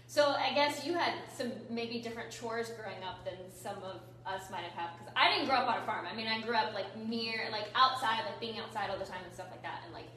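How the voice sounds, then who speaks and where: A woman hosts an interview, cheerful and quick, scattering "like" through her questions. Her voice is bright and a little high. She is away from the mic, in a strongly echoing room. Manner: upbeat, fast, says "like" a lot. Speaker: host, yoga teacher. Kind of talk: podcast interview hosting. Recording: very echoey room, far from mic.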